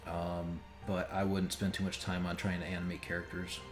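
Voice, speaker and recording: A man's voice: sits low, monotone, normal speed. A man giving rambling, opinionated, casually sweary commentary as he streams a game. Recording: roomy sound under game audio. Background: game music.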